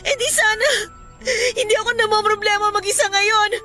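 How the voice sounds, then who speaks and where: An anxious young college girlfriend in a Filipino radio drama. Her voice is very high and bright, snappish and accusing, with faint music underneath. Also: steady tone, a little fast. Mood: tearful, panicked.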